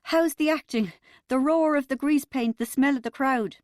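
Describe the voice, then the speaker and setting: A woman's voice: high-pitched, moderate pace, darkish tone, dry recording, clean studio sound, pitched above the performer's own voice, lightly acted. A woman voicing a sulky, indignant young female patient in an audiobook, with a rather childish manner. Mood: falsely friendly, wary. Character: self-pitying, sulky young woman in treatment. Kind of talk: audiobook character dialogue.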